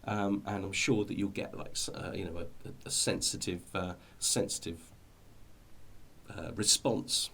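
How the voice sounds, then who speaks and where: A man's voice: deep voice, steady tone, a little slow, vocal fry, fairly bright tone, slight background noise. A middle-aged British man talking informally to viewers as he explains things, in a hesitant, rambling way with repeated words. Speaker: hobby video host.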